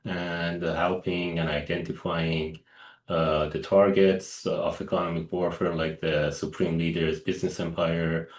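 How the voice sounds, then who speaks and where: A very low-voiced man from Iran, Persian his first language, answers questions at a press briefing. He speaks earnestly and halts to restart his phrases. The sound carries a noticeable room echo. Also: level tone, measured pace. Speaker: guest analyst.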